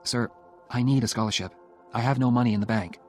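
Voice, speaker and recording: A man's voice: medium pitch, quick pace, a little mellow, faint music behind, natural voice. A man voicing a rebellious young law student in an audiobook, with an earnest, emphatic delivery. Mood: earnest, insistent. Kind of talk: audiobook character dialogue.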